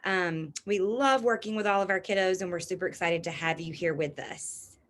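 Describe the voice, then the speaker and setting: A woman's voice: on the low side, normal speed. A woman from Alabama with an Alabama accent, introducing herself as a speech pathologist in a friendly, upbeat way. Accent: Alabama Southern. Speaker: speech language pathologist, presenter. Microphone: video call.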